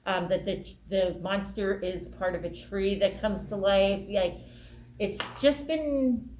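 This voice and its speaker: Echoey, slow-talking woman in an interview. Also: medium pitch, darkish tone. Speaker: theater production interviewee. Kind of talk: casual interview answers. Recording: echoey room with background noise.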